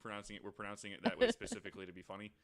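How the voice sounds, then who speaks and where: A man's voice: lowish voice, huge pitch swings, rapid-fire, vocal fry. A man on a podcast panel, chatting casually and reading stories aloud.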